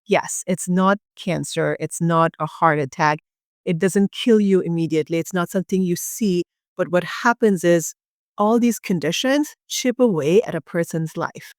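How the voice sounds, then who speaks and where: A woman's voice: on the low side, moderate pace, no echo, studio quality. A woman from Bangladesh, a urogynecologist guest, explaining pelvic health in an earnest, passionate conversational way with many fillers.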